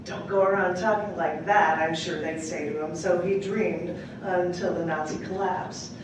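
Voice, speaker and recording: A woman poet reading her work aloud at a live open mic, with deliberate, dramatic phrasing and casual asides. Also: lowish voice, steady tone. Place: public library room at a live event. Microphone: distant microphone. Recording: echoey room from far off, clearly noisy.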